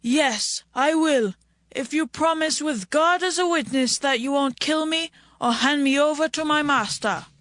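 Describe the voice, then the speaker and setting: A man's voice: extremely high pitch, unhurried, pushed and bright, no room sound, full character voice. A man playing a wary, pleading young male servant from Egypt in a dramatized scripture production. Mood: pleading, fearful. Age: young adult. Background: music.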